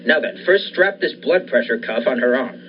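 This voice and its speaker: A man's voice: medium pitch, animated, normal speed, natural voice. A young man playing an obsessed, commanding scientist and surgeon in the style of a 1940s radio thriller. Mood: urgent, commanding. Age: young adult. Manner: commanding, insistent. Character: obsessed scientist surgeon bent on reviving his dead wife. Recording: dull lo-fi audio, faint noise.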